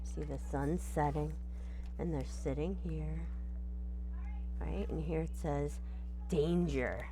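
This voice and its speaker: A woman's voice: sits low, steady tone, slow, gravelly, pauses often, noisy background. A woman teacher reading a storybook aloud and patiently explaining it in simple terms, often checking in with "right?".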